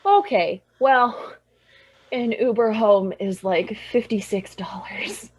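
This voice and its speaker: A woman's voice: fairly high pitch, big pitch swings, slow pace, a little mellow, husky, full character voice. A woman playing a frustrated young commuter who swears after missing her train. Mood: exasperated. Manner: swearing, exasperated. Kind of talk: stage play performed as audio.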